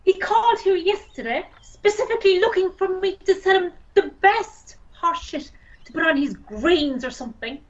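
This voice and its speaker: A woman with an Irish accent playing an irate, sarcastic, sharp-tongued racehorse owner in an amateur radio play. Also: very high voice, lively intonation, moderate pace, lo-fi sound. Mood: indignant. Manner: indignant, sarcastic, scornful.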